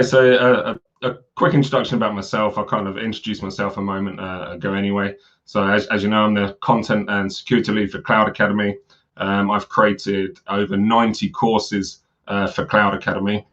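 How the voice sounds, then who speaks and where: A man's voice: lowish voice, a bit bright, muffled recording. A man giving a live webinar talk on a video call, hesitant with many repeats and fillers, his voice worn from a cold. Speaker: guest speaker on cloud security.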